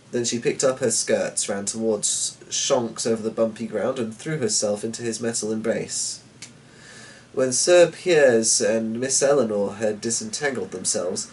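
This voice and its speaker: A man giving a measured, theatrical dramatic reading of a short story as a storyteller. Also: mid-range voice, a little mellow, distant mic, a little hiss or hum, rough recording.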